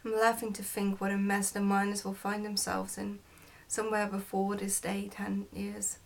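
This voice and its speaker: A woman's voice: mid-range voice, completely flat delivery, normal speed, vocal fry, slight background noise, close to an everyday voice. A woman voicing a sly goblin father from a storybook, bossy and teasing with his family. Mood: sly amusement.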